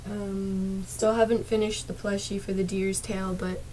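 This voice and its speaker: A young woman talks slowly and flatly to her stream viewers, her voice a bit worn from a sore throat. She sometimes trails off into near-whispers. Faint hum of a small bedroom sits behind her webcam audio. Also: mid-range voice, low-quality recording. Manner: soft-spoken, drifts into whispers and mumbles. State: sore throat, partly lost voice. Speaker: gaming streamer. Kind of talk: casual chat to viewers.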